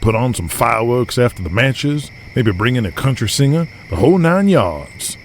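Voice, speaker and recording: A man's voice: mid-range voice, sing-song, normal speed, a little hiss or hum, voice pushed up. A man playing a confident, scheming outlaw wrestler and promoter, plain-spoken and self-assured, in an audio drama. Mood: fired up. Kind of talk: audio drama character acting.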